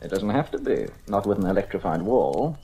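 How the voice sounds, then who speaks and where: An actress playing a cool, scheming crime boss in an old radio mystery, with a suave, condescending delivery. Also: female voice, extremely low pitch, big pitch swings, brisk, mellow, husky, exaggerated voice. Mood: smug, briskly instructing. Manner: suave, articulate, condescending, menacing. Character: cool, scheming, commanding criminal ringleader plotting a theft. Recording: noisy old radio recording.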